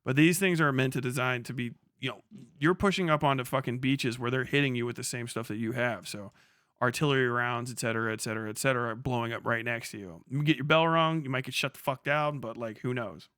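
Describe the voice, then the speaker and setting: A man's voice: medium pitch, fast talker, a bit bright, no room sound, clean studio sound. A man giving casual, off-the-cuff livestream commentary as a military veteran, slangy and full of swearing. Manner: profane, slangy.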